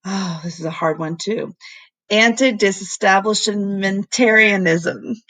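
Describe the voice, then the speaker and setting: A woman with noticeable creak in her voice reads a children's storybook aloud as a teacher would. She is expressive and addresses her listeners in asides when she trips over words. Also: fairly low pitch, normal speed. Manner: stumbles on hard words and comments aside to listeners. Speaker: elementary school teacher reading aloud.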